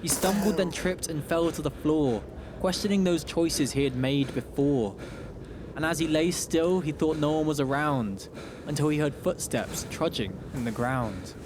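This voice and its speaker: A man narrating a rhyming radio story in a theatrical, measured verse delivery. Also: a little high, background noise.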